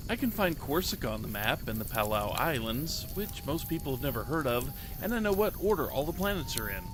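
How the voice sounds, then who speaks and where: A man voicing an excitable, earnest ten-year-old boy reading his diary in a dramatic short story. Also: mid-range voice, noisy background, close to an everyday voice. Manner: naive, childlike, eager phrasing.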